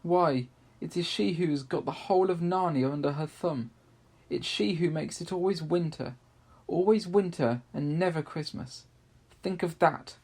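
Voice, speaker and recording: Storybook fawn, played by a man: gentle, guilty, sobbing creature, pitched up, with a husky edge. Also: moderate pace. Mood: guilty, confessing. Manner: tearful, wailing, self-reproaching.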